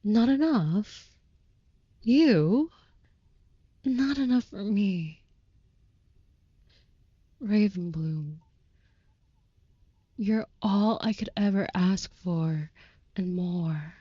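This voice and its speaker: Woman doing a slow soft-spoken vampire sweetheart, old-fashioned formal talk, devoted n protective, kinda mellow, audio roleplay. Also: mid-range voice, expressive, breathy edge, dry recording, lo-fi sound, heavily acted. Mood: devoted, earnest. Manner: archaic, formal, tender phrasing. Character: devoted, overprotective vampire lover, centuries-old but youthful, courtly. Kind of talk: intimate audio roleplay addressed to the listener.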